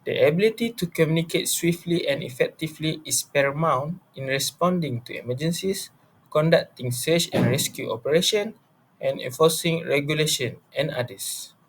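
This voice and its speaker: A fairly high-voiced young man reads from a formal script. He sounds like a student presenter, with slight noise behind him. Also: moderate pace, rough recording. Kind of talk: scripted presentation read aloud. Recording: faint background noise.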